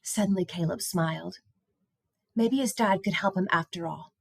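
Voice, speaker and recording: A woman reading a children's picture book aloud in an expressive storytelling style. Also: lowish voice, moderate pace, creaky, slightly rough, no room sound, clean studio sound.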